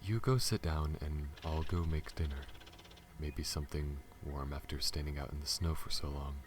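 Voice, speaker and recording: A man playing a guarded, protective alien smuggler pilot in an ASMR audio roleplay, earnest and halting, speaking to the listener. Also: rumbling low, steady tone. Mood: soft, caring. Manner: halting, stammers when flustered, earnest. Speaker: voice actor. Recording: audible background noise.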